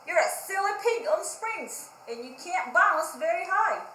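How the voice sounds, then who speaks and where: Mocking animal for a picture book, high, animated and emphatic, performed by a woman. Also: measured pace, reverberant, slight background noise, full character voice, low-quality recording. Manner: teasing, emphatic. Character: scornful parrot and mocking monkey, storybook animals. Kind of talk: children's picture book read-aloud.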